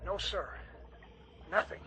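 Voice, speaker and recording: An actor playing an uneasy, dutiful young navy sailor in a radio drama. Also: male voice, slow, bright, forceful tone, slight background noise. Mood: tense, hushed.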